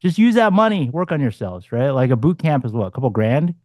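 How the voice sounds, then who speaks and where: Dating coach, male, 40s, blunt and profane, mellow tone, dry close sound. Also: medium pitch, big pitch swings, a little fast, dry recording. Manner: blunt, swearing, punchy asides. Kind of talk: casual panel discussion.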